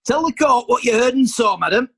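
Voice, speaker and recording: A performer playing a formal, measured advocate questioning witnesses in a period courtroom radio play. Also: mid-range voice, expressive, fairly bright tone, slightly rough, no room sound, studio quality.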